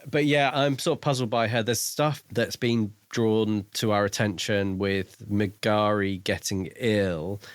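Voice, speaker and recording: Male book podcast co-host, lowish voice, reflective conversational delivery, dry studio-like sound. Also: steady tone, a little slow, hardly any pauses, dry recording. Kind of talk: casual book discussion.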